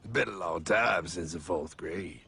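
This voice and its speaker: A man voicing a cocky, mysterious time-travelling cartoon mentor, gravelly, smug and theatrical. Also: low-pitched, normal speed. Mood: smug, cool. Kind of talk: cartoon voice acting. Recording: loud music behind the voice.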